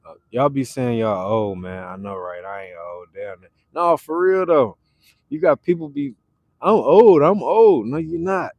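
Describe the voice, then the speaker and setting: A man's voice: mid-range voice, animated, normal speed, gravelly, dull audio. A man hosting a casual livestream, chatting with viewers and answering their comments. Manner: laid-back, repeats phrases, reads chat aloud. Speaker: livestream host. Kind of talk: casual live chat with viewers.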